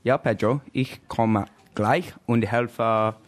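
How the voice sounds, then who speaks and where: A man speaking slow, careful practice lines as a learner in a language class. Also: mid-range voice.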